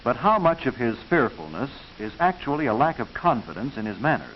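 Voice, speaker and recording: An American man reading scripted narration for a 1940s educational film, instructive and proper, with measured enunciation. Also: medium pitch, big pitch swings. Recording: hissy, muffled 1940s film soundtrack.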